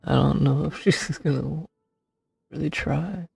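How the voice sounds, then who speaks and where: Guy streamer, super raspy n fry heavy, casual game commentary, dry close mic w some music behind. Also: a little high, a little slow, a little mellow, dry recording. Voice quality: gravelly, husky. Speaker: gaming streamer. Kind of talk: casual live playthrough commentary.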